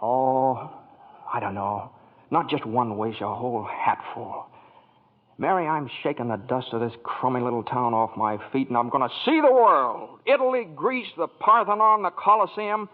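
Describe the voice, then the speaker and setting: A man's voice: medium pitch, moderate pace, in a large hall, phone-quality sound, close to an everyday voice. An actor playing a restless young man dreaming big, earnest and often stammering, in 1940s radio acting, on a scratchy 1940s radio broadcast. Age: young adult.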